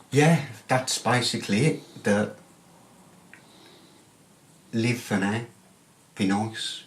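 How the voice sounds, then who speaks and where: A middle-aged man, a poet, reflecting on his life and reading his poems in a candid, plain-spoken, halting way. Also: slightly rough. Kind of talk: frank personal reflection and poetry reading. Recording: noisy ambience, rumbling background noise.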